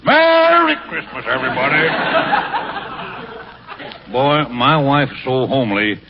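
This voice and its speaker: An actor playing a grumpy, sly, miserable sidewalk Santa in an old radio comedy, griping in deadpan and bellowing weary greetings. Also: male voice, mid-range voice, unhurried, over a noisy crowd. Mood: forced cheer. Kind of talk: vintage radio comedy acting. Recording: noisy, muffled old radio broadcast.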